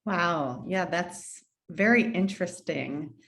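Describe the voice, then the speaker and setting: Slow-talking woman interview host. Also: lowish voice, vocal fry, a bit bright. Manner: unhurried, hesitant follow-up questions. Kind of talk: casual interview questions.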